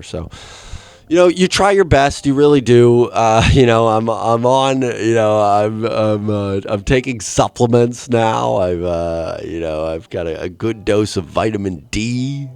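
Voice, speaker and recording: Low-voiced rambling young man. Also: no room sound. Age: 20s. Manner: rambling and profane, with deadpan asides. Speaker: podcast host. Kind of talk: solo comedic rant.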